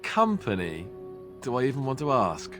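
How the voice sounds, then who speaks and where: A wry young male warrior in a fantasy game, awkward and flippant. His mid-pitched voice swings wildly in conversational sarcasm, with clear music behind it. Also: wildly swooping pitch, moderate pace. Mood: curious, incredulous. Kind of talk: fantasy game banter acting.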